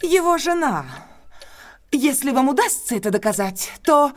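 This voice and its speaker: A betrayed but resolute lady from a classic detective audio drama. Her voice sits somewhat high. She speaks formally and earnestly, with proud, emotional intensity. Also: lively intonation, normal speed. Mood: defiant, shocked.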